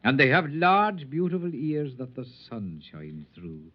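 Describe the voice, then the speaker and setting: An actor playing a whimsical, lonely tramp in an old-time radio drama, speaking in a florid, lilting, poetic way. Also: male voice, medium pitch, big pitch swings, measured pace. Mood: tender, persuasive. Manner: lilting, florid, theatrical speech. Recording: dull vintage radio recording.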